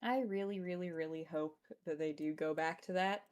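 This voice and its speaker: A woman's voice: low voice, steady tone, normal speed, a little mellow. A woman talking casually as a co-host, reacting to videos with others.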